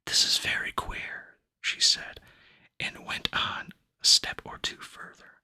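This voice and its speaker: Male ASMR reader for children's fantasy: fully whispered, bright airy hiss, gentle unhurried phrasing, close mic. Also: no room sound. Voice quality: breathy unvoiced whisper. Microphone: close microphone.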